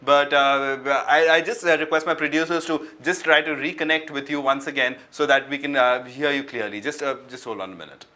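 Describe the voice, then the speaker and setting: A man's voice: a little high, fairly even intonation, fairly quick, hardly any pauses, pushed and bright. A man moderating a live TV panel, polite and often stammering on restarts. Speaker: TV news anchor.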